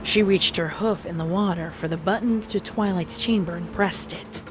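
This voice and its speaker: A woman's voice: on the low side, normal speed, noisy background. A woman narrator reading a scripted, dramatic story.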